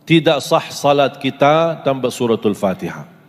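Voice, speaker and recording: A man's voice: mid-range voice, lively intonation. Male preacher in an echoey hall. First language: Arabic. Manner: asks the audience questions, recites in Arabic, slow deliberate listing. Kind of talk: sermon to a live audience. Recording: long echoey hall reverb.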